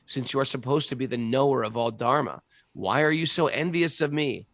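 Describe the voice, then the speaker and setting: A man's voice: mid-range voice, a little fast, a bit bright, no echo, close to an everyday voice. Man reading as the pleading earth goddess. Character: frightened earth goddess in cow shape, pleading.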